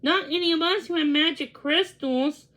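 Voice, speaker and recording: A woman's voice: high voice, moderate pace, fairly bright tone, voice pushed up. A woman voicing a kindly, fretful Mrs. Claus in a storybook read-along, speaking earnestly.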